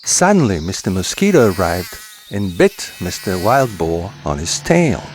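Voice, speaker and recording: A man narrating a children's folktale as a scripted story, in a deliberate, expressive manner. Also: deep voice, background noise.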